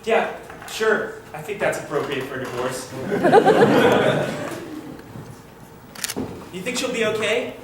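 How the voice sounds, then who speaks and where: A man's voice: sits high, big pitch swings, normal speed. An improv actor playing a nervous, fretful man at his own divorce party, rambling and stammering through restarts. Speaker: improv performer. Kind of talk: live improv comedy scene. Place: theater stage with live audience. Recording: roomy live stage recording from far off, audience noise.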